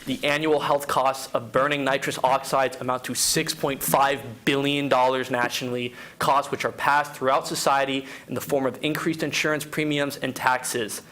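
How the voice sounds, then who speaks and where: A man reading a formal prepared statement at a council meeting, measured, articulate and earnest. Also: medium pitch, level tone, bright, forceful tone. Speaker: public commenter at a council meeting. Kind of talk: prepared speech read out, formal.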